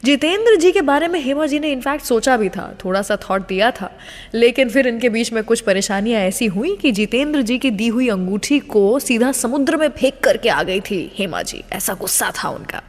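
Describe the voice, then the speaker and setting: A woman's voice: a little high, lively intonation, normal speed. A woman with an Indian accent hosting a radio show, telling scripted stories and introducing songs in a dramatic, emphatic way. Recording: long reverb on the voice.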